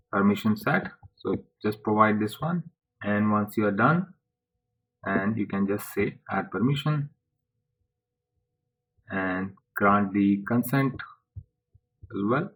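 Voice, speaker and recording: A man presenting a software tutorial step by step in an informal way, speaking haltingly in short phrases. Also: on the low side, slightly rough, rough recording.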